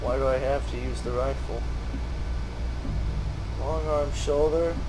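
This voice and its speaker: A man's voice: mid-range voice, steady tone, a little slow. A man giving mocking, sarcastic game commentary, swearing and ranting as he goes. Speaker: gaming video host. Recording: noisy, game sound behind.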